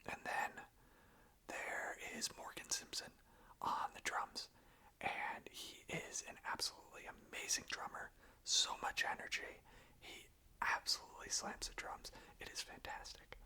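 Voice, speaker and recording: An ASMR host speaking in a breathy, unvoiced whisper, gentle and enthusiastic in manner. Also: unhurried. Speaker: ASMR host chatting about and reading song lyrics.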